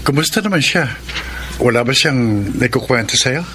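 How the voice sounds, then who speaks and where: An earnest, jealous Filipino uncle in a radio romance. His mid-pitched voice has a probing manner. The recording carries faint noise. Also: lively intonation, normal speed, pushed and bright. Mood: casual probing. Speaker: radio drama actor.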